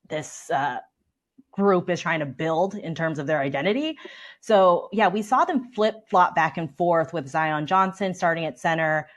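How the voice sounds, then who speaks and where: An American woman giving casual sports analysis as a guest reporter on a panel. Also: fairly low pitch.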